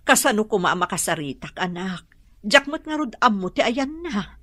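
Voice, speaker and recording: A woman's voice: mid-range voice, big pitch swings, normal speed, bright, forceful tone, no room sound. Anxious mother, dry close sound. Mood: worried. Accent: Ilocano. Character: anxious, affectionate older mother. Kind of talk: Ilocano radio drama acting.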